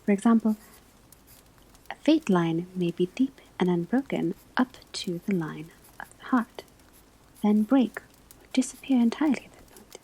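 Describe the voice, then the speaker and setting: Breathy whispering witch-school professor played by a woman. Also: medium pitch, animated, warm tone, poor audio. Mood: calm, instructive. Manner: measured, deliberate phrasing with frequent pauses. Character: calm, scholarly witch-school divination professor lecturing on palmistry. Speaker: ASMR performer playing a teacher. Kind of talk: whispered ASMR classroom roleplay, scripted lecture.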